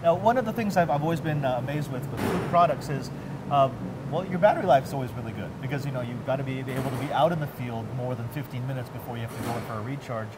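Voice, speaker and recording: A man's voice: mid-range voice, quick pace. A man in his thirties hosting a conversational tech interview in a casual, geeky, enthusiastic way. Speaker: host interviewing at a tech trade event. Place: large indoor warehouse staging space. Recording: clear background noise of a warehouse.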